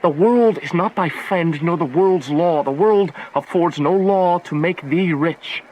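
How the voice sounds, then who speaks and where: A man's voice: on the high side, unhurried, darkish tone, lightly acted, low-quality recording. An actor playing a lovesick, impulsive young nobleman in a declamatory, poetic Shakespearean radio drama. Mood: grimly resolved. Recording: faint background noise.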